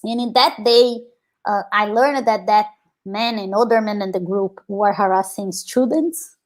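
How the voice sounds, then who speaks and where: A woman's voice: medium pitch, unhurried. A woman in her thirties from Brazil with a Brazilian Portuguese accent, telling her personal story as an interview guest, earnest and reflective, with frequent "like"s. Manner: earnest, reflective, many filler "like"s. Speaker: guest, activist and scholar.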